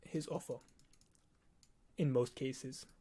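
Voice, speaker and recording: A man's voice: mid-range voice, fairly even intonation, slow pace, dark tone, breathy edge. A nineteen-year-old man with a lisp, explaining things to viewers in a blunt, informal way. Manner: blunt, casual, swearing, emphatic repetition. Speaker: video editor teaching on own channel.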